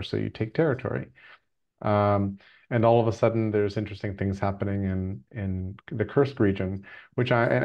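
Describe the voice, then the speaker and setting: Low-pitched man, reflective video-call host. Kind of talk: casual check-in talk on a group video call. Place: video call from a room indoors. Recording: slightly dull video-call audio.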